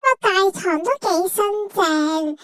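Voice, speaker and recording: A cute cartoon cat with an extremely high, childlike voice. It speaks Hong Kong Cantonese in a theatrical, self-important and cheeky way, like a little travel companion. The sound is very dry, with no room around it. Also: female voice, slow, a bit bright, no echo, full character voice. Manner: childish, theatrical, refers to itself by name. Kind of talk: cartoon character commentary on a travel vlog.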